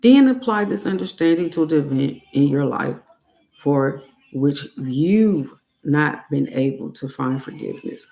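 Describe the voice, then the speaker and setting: A woman reads a guided self-help meditation at a very slow pace. Her voice has a gravelly fry to it, and she sets phrases apart with long, deliberate pauses. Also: sits low, lively intonation, warm tone, rough recording.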